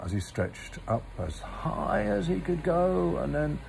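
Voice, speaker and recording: A man reading a children's story aloud with gentle, deliberate, theatrical phrasing. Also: fairly high pitch, big pitch swings, gravelly, slight background noise. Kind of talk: expressive storybook narration.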